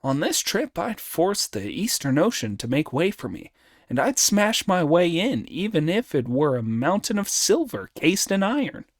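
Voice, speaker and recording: A man performs an excitable, boastful monkey hero from a storybook. The voice sits high and leaps about in pitch. It is cocky and exclamatory, recorded dry and close. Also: moderate pace, no room sound. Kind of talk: storybook character dialogue.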